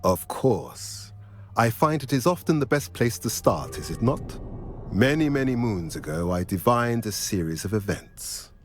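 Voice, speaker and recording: A solemn, courteous centaur seer from a fantasy game. He speaks slowly and cryptically in a fairly low voice with sweeping intonation, formal and measured throughout. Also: big pitch swings, faint music behind. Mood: solemn, sage. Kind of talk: video game cutscene acting.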